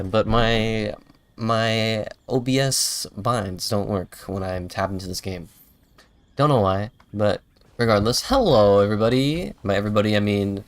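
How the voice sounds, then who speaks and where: A man chatting casually as a game streamer, rambling and self-deprecating. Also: fairly low pitch. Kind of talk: casual livestream gameplay chat.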